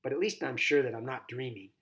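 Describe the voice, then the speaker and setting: A man reading a children's novel aloud as a volunteer, narrating in an expressive, dramatized first-person style. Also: medium pitch, quick pace, vocal fry. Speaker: volunteer storybook reader.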